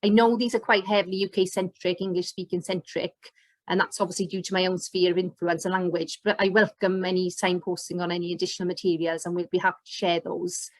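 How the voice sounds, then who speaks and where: A woman's voice: fairly low pitch, level tone, brisk, no room sound. A middle-aged woman with a Welsh accent presenting an online teacher course over slides on a video call, enthusiastic and loose, with many fillers and restarts. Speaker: educator and presenter. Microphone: webcam on video call.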